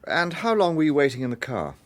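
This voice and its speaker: Courteous English gentleman detective, lively intonation. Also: on the high side. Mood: polite, firm. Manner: polite, measured, probing. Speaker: lead actor in a radio detective drama. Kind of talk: British radio drama acting.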